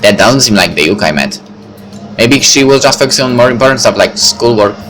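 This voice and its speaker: A man's voice: medium pitch, lively intonation, brisk, a bit bright. A man reading a visual novel aloud as narrator, with casual asides. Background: background music.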